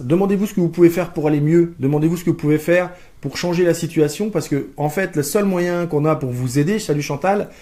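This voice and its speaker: A man's voice: fairly high pitch, warm tone. A man co-hosting a live stream as a life coach, chatting casually, joking and searching for his words. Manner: jokey, searching for words, playful put-on slang.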